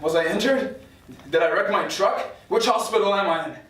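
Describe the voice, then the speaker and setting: A bewildered, folksy patient, played by a man in a fairly high male voice, stumbles and repeats himself in halting confusion. The recording is distant and echoey. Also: fairly bright tone. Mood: confused. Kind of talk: script table read. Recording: echoey room from far off, noisy.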